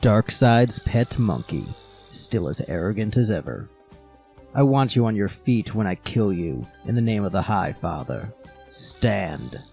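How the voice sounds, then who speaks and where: A menacing war god with a low, gravelly voice. He is slow and commanding, swinging widely in pitch with vengeful anger, in fan audio-drama style. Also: big pitch swings, mellow, soft background music, full character voice. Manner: commanding, threatening.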